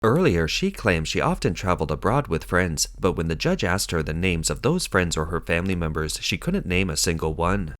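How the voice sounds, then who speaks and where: A man narrating a scripted news explainer in a measured, dramatic storytelling manner. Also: low voice, sing-song, fairly bright tone, no echo, studio quality.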